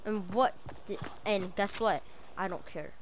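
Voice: mid-range voice, measured pace, slight background noise. A female player improvising a roleplay over game voice chat, confrontational and commanding in manner.

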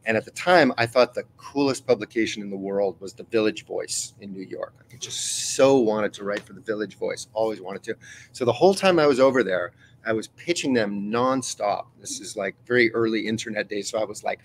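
A man's voice: fairly low pitch, fairly quick, slight background noise. A middle-aged man, a magazine editor, telling an anecdote on a panel in a meeting room, full of fillers and acted-out quotes, heard from a camera across the room. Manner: storytelling, acts out quoted voices, many fillers.

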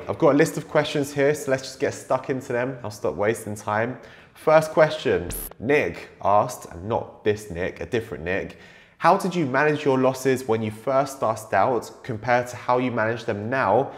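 Man losing his voice, talking to camera. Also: lowish voice. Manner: animated, gestures while talking. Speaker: trading channel host. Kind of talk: casual Q&A to camera. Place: indoor room against a plain wall.

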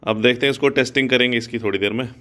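A man's voice: mid-range voice, rapid-fire, fairly bright tone. A man vlogging casually as he rides a motorbike outdoors, rambling and thinking aloud, recorded on a phone mounted on the bike. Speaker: motovlogger. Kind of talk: casual vlog chat. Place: outdoors riding a motorbike on the road.

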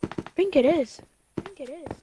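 A young woman plays along in an improvised game role-play. Her voice sits fairly high and her delivery is casual, over a mic with faint noise and clicks. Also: animated, moderate pace.

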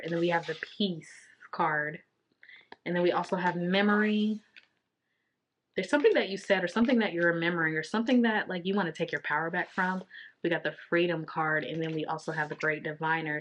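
A woman in her thirties, a little raspy from long talking, giving a casual tarot reading to the camera from a small home office. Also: lowish voice, fairly bright tone, slight background noise. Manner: intuitive, repetitive, drawn-out card naming. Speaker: tarot reader.

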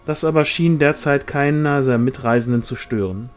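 A man's voice: mid-range voice, moderate pace. A man reading a fantasy novel aloud as scripted audiobook narration. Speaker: audiobook reader. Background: music.

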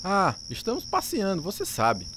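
A man's voice: high-pitched, huge pitch swings, normal speed, fairly bright tone, a little hiss or hum, voice pushed up, exaggerated voice. An actor playing a hot-headed, defensive teenage boy in a radio drama. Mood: defensive, pleading.

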